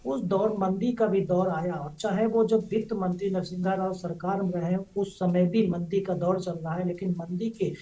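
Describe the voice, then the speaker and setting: Male correspondent, high for a man, dark soft-edged tone, unscripted live report, long-winded run-on phrasing. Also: steady tone, normal speed, hardly any pauses, rough recording. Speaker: news correspondent.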